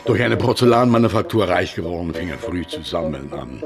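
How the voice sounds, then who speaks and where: A man's voice: low-pitched, expressive, brisk, music in the background. An actor in a German radio drama playing a deferential, easily flustered local man who speaks with quaint, hesitant formality. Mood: courtly, hesitant. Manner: hesitant, obsequious, old-fashioned formality.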